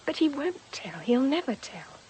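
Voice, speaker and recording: An actress playing an anxious young woman in a radio drama, protective of her troubled lover, with an earnest, hesitant, hushed manner. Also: sits high, big pitch swings, moderate pace, a little hiss or hum, rough recording. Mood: fearful, urgent.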